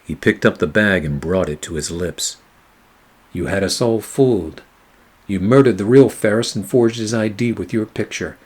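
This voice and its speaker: Noir radio play detective, male actor, very low and slightly husky, weary, sardonic, measured. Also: a little mellow. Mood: wry, measured. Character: weary, wry hard-boiled male private eye narrating his case.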